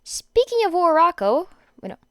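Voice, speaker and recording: A woman reading fan fiction aloud in an informal, expressive way, stumbling over words now and then. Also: extremely high pitch, a little fast, dry recording, clean studio sound. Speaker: podfic reader. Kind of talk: amateur fan fiction reading with chatty asides.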